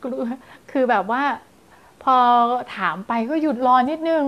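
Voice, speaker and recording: A woman in her thirties, a child development teacher, giving parents advice to camera and now and then acting out lively story voices to show how. She speaks on a lapel mic in a small indoor studio. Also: sits high, measured pace, highs cut off. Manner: animated, acts out scary and playful story voices to demonstrate. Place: small indoor studio in front of blue backdrop.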